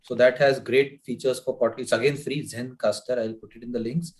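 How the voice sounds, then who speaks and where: A man on a video call sharing practical advice, talking through it with quick self-corrections. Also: mid-range voice, steady tone, darkish tone. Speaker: club member. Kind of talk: online meeting discussion.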